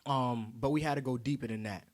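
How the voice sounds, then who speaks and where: A man's voice: mid-range voice, brisk. A young man from the United States hosting a podcast in a casual, colloquial way. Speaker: podcast host.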